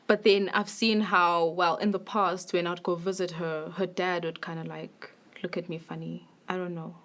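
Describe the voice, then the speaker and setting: A woman speaks in a relaxed, conversational interview style. Her voice sits rather low for a woman. She fills her speech with casual "like"s. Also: moderate pace. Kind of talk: documentary interview.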